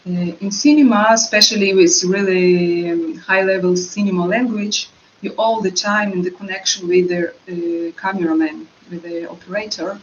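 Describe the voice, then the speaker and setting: A woman's voice: fairly low pitch, steady tone, moderate pace, lo-fi sound, a little hiss or hum. Russian-accented woman, hesitant and searching. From Russian-speaking. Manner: hesitant, many fillers, searching for words. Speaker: actress guest. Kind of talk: interview answers.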